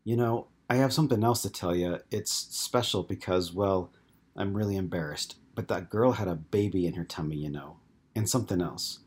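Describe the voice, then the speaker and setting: A man voicing a shy young child ghost who talks in a halting, childlike way through a medium. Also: sits low, breathy edge. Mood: hesitant childlike.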